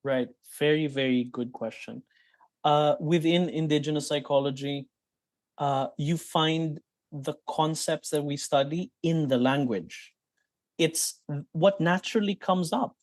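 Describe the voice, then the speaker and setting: A man's voice: fairly high pitch, darkish tone, dry recording. A Filipino man with a Filipino accent, a psychologist answering interview questions in an explanatory way. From Philippines. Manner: explanatory, mixes in Tagalog terms, many restarts. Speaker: psychologist guest.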